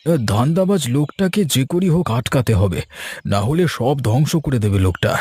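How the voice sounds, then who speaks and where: A man playing a suspicious but loyal village orchard keeper in a cartoon story, husky and earnest. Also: mid-range voice, lively intonation, moderate pace, dark tone. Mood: suspicious muttering.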